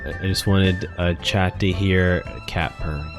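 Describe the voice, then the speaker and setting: A male streamer reacts casually to a game. His voice is low and his delivery is slow and offhand, with clear music behind him. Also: fairly even intonation. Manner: informal, offhand.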